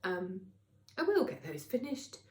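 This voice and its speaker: A woman's voice: medium pitch, big pitch swings, measured pace. Chatty British woman, congested, in an echoey room. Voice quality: congested, slightly nasal. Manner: chatty, rambling, emphatic repetition, tag questions. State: congested from a cold. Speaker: knitting podcast host. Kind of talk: casual chat to camera. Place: indoors at a craft workplace. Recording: roomy, echoing indoor sound.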